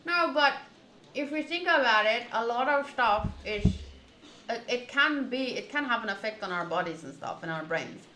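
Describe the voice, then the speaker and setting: A woman streaming a visual novel, reading text aloud and thinking out loud in an animated, rambling way. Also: fairly high pitch, moderate pace. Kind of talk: reading game text aloud with casual commentary. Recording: roomy echo, faint background noise.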